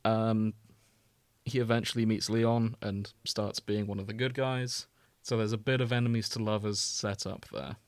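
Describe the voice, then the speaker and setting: A man's voice: on the low side, level tone, normal speed, no echo. A British man explaining things in a casual, wry, informal podcast chat, sometimes sweary. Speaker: podcast host explaining a video game.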